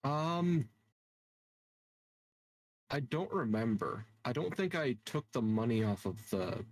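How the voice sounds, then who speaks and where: A man's voice: medium pitch, expressive, slow pace, no echo. A man playing a tabletop game, answering hesitantly with fillers and trailing-off sentences. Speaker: player. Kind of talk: casual tabletop chat.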